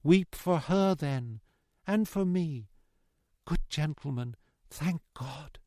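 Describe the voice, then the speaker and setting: A man voicing a gentle young daughter in an audiobook, tender and pleading with impassioned, repeated cadences. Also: on the high side, expressive, takes it slowly, no echo, clean studio sound. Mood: impassioned, devoted. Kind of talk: dramatic audiobook character reading.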